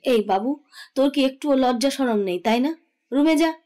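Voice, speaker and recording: A middle-aged mother played by a woman in an audio romance drama. Her voice sits a little high as she chides firmly and teases. Underneath the scolding she is indulgent. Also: lively intonation, normal speed, warm tone. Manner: chiding, teasing, firm. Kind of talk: Bengali audio drama acting.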